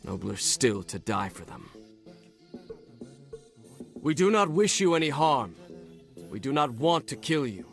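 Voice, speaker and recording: Vengeful male warrior, terse and determined, mid-pitched, game cutscene over faint music. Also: sing-song, unhurried, creaky, pauses often. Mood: grim resolve. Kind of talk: video game cutscene acting.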